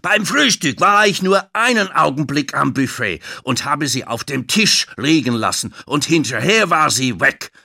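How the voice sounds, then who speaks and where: A man's voice: a little high, lively intonation, a little slow, vocal fry, hardly any pauses, pitched above the performer's own voice, exaggerated voice. A man voicing an angry, blustering male hotel guest who huffs and accuses indignantly in a children's audiobook. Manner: loud, indignant, huffing.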